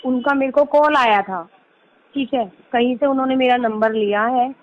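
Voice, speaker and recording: Woman lawyer, higher voice, insistent explainer on a phone call. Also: moderate pace. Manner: insistent, explanatory, peppers Hindi with English legal terms. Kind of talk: persuasive phone conversation. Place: recorded phone or video call. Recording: muffled lo-fi recorded call.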